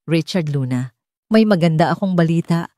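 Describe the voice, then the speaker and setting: A woman's voice: low voice, lively intonation, moderate pace, mellow, no room sound. An earnest, proud young librarian from a romance audiobook, played by a woman narrator. She speaks with a Filipino accent and an emotional delivery, in a very dry recording. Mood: excited, surprised.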